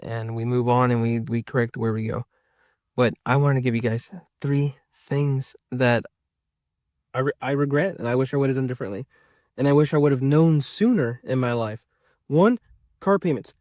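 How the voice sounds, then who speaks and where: A man's voice: mid-range voice, a little mellow, dry recording, clean studio sound. A man of about thirty chatting casually to his viewers as a vlogger, rambling and repeating himself for emphasis. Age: around 30. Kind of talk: casual personal chat to viewers.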